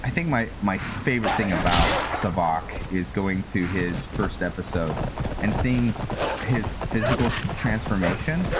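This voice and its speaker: Low-voiced man over loud game noise. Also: a little slow. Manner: hesitant, thinking aloud in short phrases. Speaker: game streamer narrating a roleplay playthrough. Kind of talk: casual gameplay commentary. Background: video game sounds, outdoor ambience.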